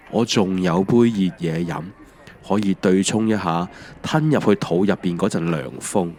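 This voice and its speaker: A man with a Hong Kong accent, narrating a scripted autobiographical audio drama in a reflective, wry storytelling manner. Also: sits low. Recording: music under the voice. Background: background music.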